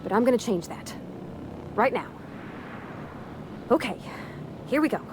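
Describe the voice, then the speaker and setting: An actress playing a sweet, shy young ship mechanic in a video game, speaking with a rural Southern American accent in a folksy, hesitant, earnest way. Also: female voice, on the high side, lively intonation, a little slow, background noise. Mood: relieved, resolute. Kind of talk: video game character acting.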